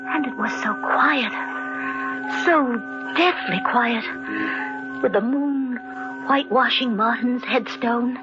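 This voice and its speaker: A woman's voice: fairly high pitch, takes it slowly, pauses often. An actress playing a guilt-ridden, frightened woman murderer in 1940s radio thriller style, breathless and melodramatic. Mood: haunted, anxious. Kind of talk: 1940s radio thriller acting, first-person narration. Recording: muffled old radio recording, loud music behind.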